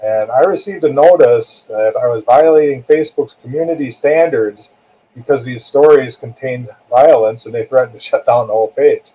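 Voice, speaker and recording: A man with a husky, rough-edged voice talks in an earnest, plain-spoken way, as a guest in an interview. The sound is narrow and tinny, like a phone line. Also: mid-range voice, normal speed. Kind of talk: conversational interview talk.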